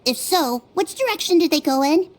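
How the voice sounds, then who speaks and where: Careful non-human sleuth, high and lilting, thinks aloud step by step, faint music behind. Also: female voice, lively intonation, moderate pace. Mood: thoughtful. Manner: methodical reasoning aloud. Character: young female Melusine detective, analytical, gentle. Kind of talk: video game voice acting. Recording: game audio with soft music.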